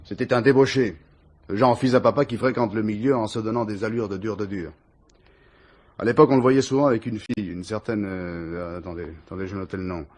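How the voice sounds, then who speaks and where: A man's voice: on the low side, fairly even intonation, a little fast, darkish tone, natural voice. An actor playing a dutiful, dogged police detective reporting informally to his boss in a French radio crime drama. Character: dutiful male police detective, deferential yet dogged assistant.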